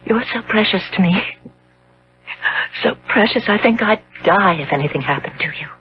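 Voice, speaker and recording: A woman's voice: mid-range voice, expressive, moderate pace, close to an everyday voice. An actress playing a devoted, anxious young fiancée in a 1970s radio drama, earnest and hesitant in her melodramatic delivery. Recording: dull, noisy old radio broadcast.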